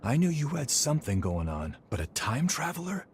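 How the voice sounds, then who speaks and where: An actor voicing a brash, loyal fighter in a video game, talking in a cocky, slangy way. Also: male voice, a little high, sing-song, moderate pace, slight background noise. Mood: cocky, eager. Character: brash, loyal century-old male fighter, rough-talking adventurer. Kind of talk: video game cutscene acting.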